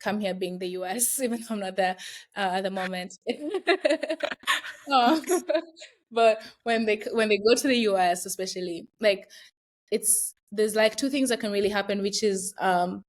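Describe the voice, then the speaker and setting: A woman's voice: mid-range voice, moderate pace. A young woman with a Kenyan accent, a university student talking casually and reflectively as an interview guest, with frequent fillers. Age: young adult.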